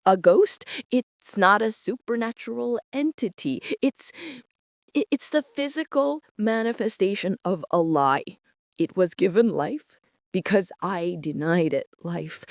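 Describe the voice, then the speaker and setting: A woman performs a traumatized archivist who broods over her buried past in a horror audio drama. She speaks very slowly and deliberately, at a middling pitch for a woman. The sound is dry and close, with a meticulous, haunted feel to every phrase. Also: no echo. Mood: haunted, resigned. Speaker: narrator and lead character of an audio drama. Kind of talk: dramatic first-person horror narration.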